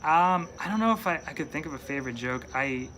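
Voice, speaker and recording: A man's voice: fairly high pitch, animated, fast, bright, forceful tone, slight background noise. A young man from upstate New York with a mild New York accent, a stand-up comedian chatting in an interview, rambling and hesitant with lots of fillers. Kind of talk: casual interview chat.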